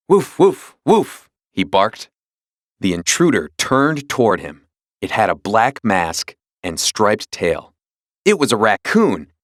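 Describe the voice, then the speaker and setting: A man narrating a children's read-along storybook in an expressive, dramatic storytelling manner. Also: on the low side, dry recording, clean studio sound.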